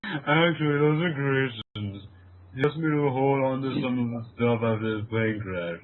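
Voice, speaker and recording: A man's voice: medium pitch, moderate pace, natural voice. Man playing sensible doubtful guy, phone-like audio. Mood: matter-of-fact, doubtful. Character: sensible, doubtful man questioning his reckless friend. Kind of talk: amateur audio drama character acting. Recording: tinny phone-like audio, faint noise.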